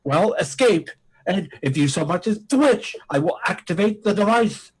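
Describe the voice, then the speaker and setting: A man's voice: sits high, expressive, normal speed, slightly rough, poor audio. A man playing a petulant multi-armed alien villain, melodramatic and whiny, in a comic old-time radio play. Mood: scheming.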